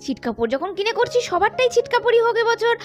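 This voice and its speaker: Woman doing an excitable, very high-pitched young doll lady. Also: fast, soft background music. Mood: eager, chatty. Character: excited young doll women chattering while shopping for saris. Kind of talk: doll-story role play.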